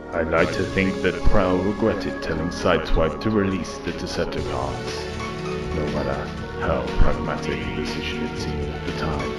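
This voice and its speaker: A man playing a weary, solemn robot leader who narrates an audio drama in a grave, reflective manner. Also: extremely low pitch. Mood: regretful.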